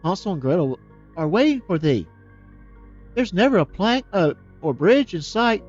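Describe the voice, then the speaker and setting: A man voicing a frightened but brave young girl in a storybook, speaking in a high, pleading way. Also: big pitch swings, moderate pace, muffled recording, soft background music. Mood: calling.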